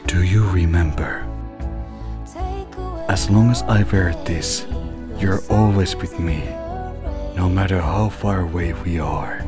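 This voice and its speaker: A man playing a gentle, encouraging lost loved one in an animated drama, speaking in a soothing, reassuring manner. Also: deep voice, slow, pauses often, over music. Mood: tender, reassuring. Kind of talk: animated-series voice acting.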